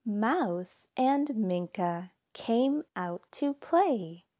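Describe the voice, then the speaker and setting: A woman reading a children's picture book aloud, with deliberate phrasing and expressive emphasis. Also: on the high side, highs cut off. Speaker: storybook reader.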